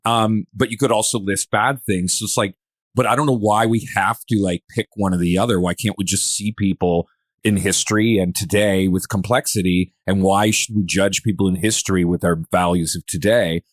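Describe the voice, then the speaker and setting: Low-voiced Canadian man hosting a podcast. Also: steady tone, normal speed, no room sound. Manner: conversational, musing, poses questions to guests. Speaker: podcast host. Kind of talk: casual podcast discussion.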